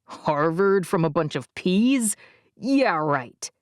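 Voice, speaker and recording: Cocky teen boy, a touch lower, sneering and dismissive, read by a woman. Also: animated, slow, no echo, studio quality.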